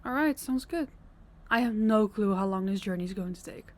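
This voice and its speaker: A woman playing a kind, practical vampire guide in an audio roleplay, speaking in a warm, reassuring manner. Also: mid-range voice, moderate pace, natural voice. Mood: upbeat, practical.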